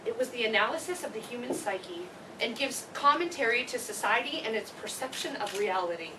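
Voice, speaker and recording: A woman playing an effusive, gushing schoolteacher with emphatic, deliberate phrasing in an amateur stage play reading. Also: on the high side, animated, lightly acted. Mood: impressed. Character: effusive, gushing schoolteacher praising her students. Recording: distant mic, clearly noisy live room.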